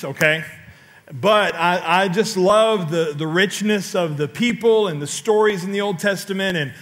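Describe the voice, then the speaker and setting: A middle-aged male pastor preaching on a large church stage into a headset mic, telling stories with sudden loud outbursts and questions to the congregation. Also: sits high. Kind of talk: sermon.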